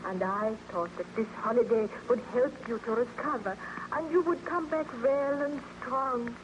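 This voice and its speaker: An actress playing a devoted, anxious young sweetheart in an old-time radio drama, earnest and questioning in a melodramatic style. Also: female voice, a little high, normal speed, lightly acted. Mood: alarmed, pleading. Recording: noisy, muffled old-time radio recording.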